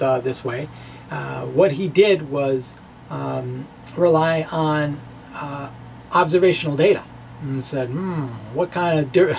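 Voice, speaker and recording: High-pitched, halting middle-aged man explaining. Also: low-quality recording. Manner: halting, hesitant, wry asides. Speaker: physics blogger and lecturer. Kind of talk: informal physics lecture with derivations. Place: small indoor room with bookshelves. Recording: clear steady background noise.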